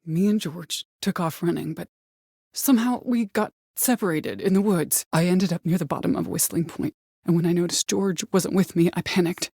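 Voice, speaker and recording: An actress playing a vengeful, bitter middle-aged mother in a video game, commanding and threatening, with deliberate delivery and cold control. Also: on the low side, vocal fry, warm tone, breathy edge, no room sound, clean studio sound. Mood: pained recollection. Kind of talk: dramatic video game voice acting.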